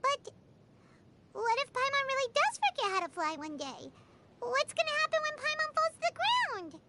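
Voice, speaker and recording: A woman voicing a small, childlike, self-important flying fairy companion in a video game, squeaky and exclaiming. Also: big pitch swings, moderate pace, very noisy, exaggerated voice. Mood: touched, worried. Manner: refers to self in third person, exclaiming. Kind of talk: scripted video game dialogue. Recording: music behind the voice.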